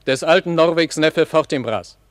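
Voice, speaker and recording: An actor playing a dutiful army captain with a matter-of-fact, soldierly manner in a German radio play of classical drama. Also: male voice, on the high side, moderate pace, big-room sound, lightly acted. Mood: plain, disdainful.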